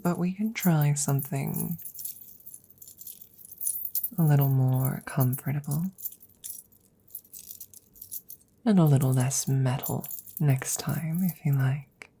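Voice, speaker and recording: A woman playing a wry, affectionate witch soothing her familiar in an intimate ASMR audio roleplay, soft and gently teasing, often near a whisper. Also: low voice, slow, husky, exaggerated voice. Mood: reassuring.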